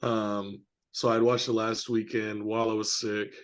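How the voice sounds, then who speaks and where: A man co-hosting a casual film discussion, speaking haltingly as he searches for his words. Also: fairly low pitch, level tone. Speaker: podcast co-host.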